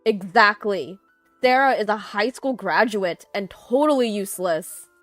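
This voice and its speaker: A woman voicing an arrogant, boastful, cowardly man in a story, loud and blustering. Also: medium pitch, measured pace, no echo, close to an everyday voice. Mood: smug, contemptuous. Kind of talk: dramatized storytelling. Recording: music behind the voice.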